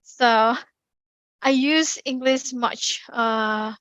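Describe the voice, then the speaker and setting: A young woman with an Indonesian accent, giving a lively online class talk with many ums and restarts. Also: on the high side, very slow, no room sound, highs cut off. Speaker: community speaking presenter. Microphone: computer mic on a video call.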